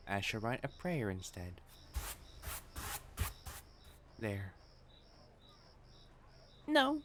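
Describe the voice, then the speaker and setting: Man acting as prim young matchmaker fiancé, lively pitch swings, formal wording, earnest, easily flustered, romantic audio drama, faint cricket ambience. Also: a little hiss or hum. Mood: gentle, wry. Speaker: voice actor in romantic audio roleplay. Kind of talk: intimate scripted romance roleplay acting.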